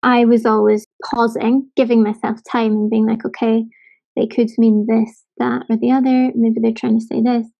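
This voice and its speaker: A woman's voice: on the high side, level tone, normal speed, vocal fry, dark tone, muffled recording. A woman from Scotland with a light Scottish accent, giving thoughtful practical advice in a casual conversation.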